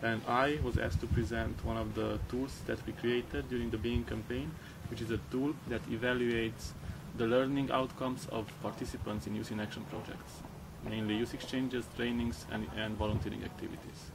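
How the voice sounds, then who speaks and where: A man's voice: medium pitch, little pitch movement, normal speed, gravelly, few pauses, distant mic. A man from Romania who volunteers, explaining his project earnestly in an interview. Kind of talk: conference interview answers. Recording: loud background noise.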